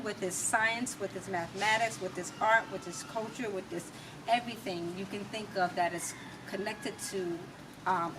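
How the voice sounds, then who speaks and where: An American woman giving her opinions as an interview guest, emphatic and rhetorical, speaking in deliberate, repeated phrases. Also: medium pitch. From United States. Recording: distant mic with clear background noise.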